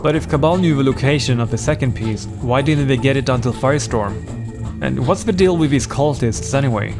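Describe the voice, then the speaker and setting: A man narrates a scripted lore piece, dropping wry jokes along the way. His voice sits in an ordinary middle register. Music plays clearly under him. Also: mid-range voice, normal speed. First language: Swedish. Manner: wry, playful asides. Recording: voice-over with music bed.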